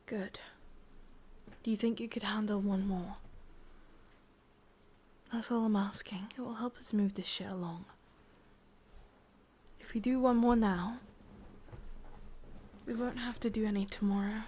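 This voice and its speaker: A woman with an English accent playing a sadistic, possessive witch in a whispered, breathy ASMR roleplay, commanding yet doting, with deliberate, intimate delivery. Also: mid-range voice, fairly even intonation, darkish tone, a little hiss or hum, natural voice. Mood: coaxing, controlling.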